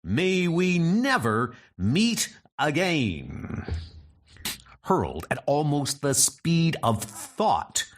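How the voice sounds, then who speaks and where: A man's voice: medium pitch, fairly bright tone. A man narrating a comic book story as a dramatic scripted reading.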